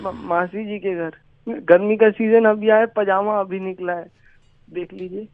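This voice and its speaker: A man's voice: sits high, steady tone, measured pace. A man pleading hesitantly and deferentially in a phone conversation on a narrow line. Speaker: prank call victim.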